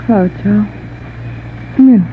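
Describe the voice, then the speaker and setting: A woman's voice: mid-range voice, measured pace, lightly acted. A woman playing a stoic, grieving policeman's wife in a 1950s radio drama, restrained and earnest. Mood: numb, sorrowful. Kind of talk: 1950s radio melodrama acting. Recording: tinny, faintly noisy old radio recording.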